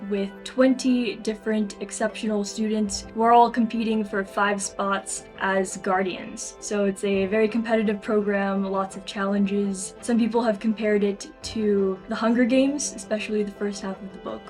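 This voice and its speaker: Young female author in interview, mid-pitched voice, conversational storytelling, music underneath. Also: fairly even intonation, normal speed, hardly any pauses, darkish tone. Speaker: author and YouTuber being interviewed.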